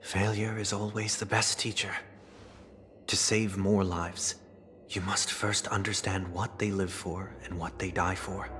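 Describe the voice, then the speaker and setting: An actor playing a refined, introspective man of rigid discipline in a video game, speaking in a measured, formal, earnest way. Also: low voice, little pitch movement, background noise. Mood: calm, resolute. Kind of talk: scripted dramatic game dialogue. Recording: background music under voice.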